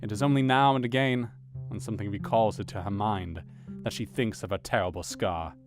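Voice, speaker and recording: A man's voice: on the low side, steady tone, music in the background, close to an everyday voice. A man as a thoughtful Victorian doctor. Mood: reflective. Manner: measured diary narration. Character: Victorian doctor, narrating his diary, thoughtful. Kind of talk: audio drama reading.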